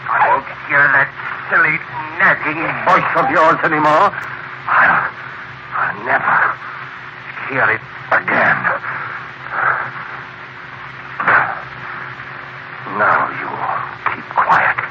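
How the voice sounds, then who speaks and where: Volatile tormented killer, noisy vintage radio. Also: male voice, on the high side, animated, slow pace, pauses often, lo-fi sound. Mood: enraged. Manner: volatile, overwrought, intense. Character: hot-tempered, tormented husband turned hoodlum and condemned killer. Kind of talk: 1940s radio drama acting.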